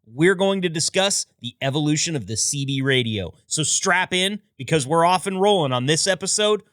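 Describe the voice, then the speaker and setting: A man's voice: on the high side, lively intonation, moderate pace, fairly bright tone, dry recording. A man hosting a podcast, reading a scripted history narration in an upbeat storytelling manner with wry asides. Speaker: podcast host.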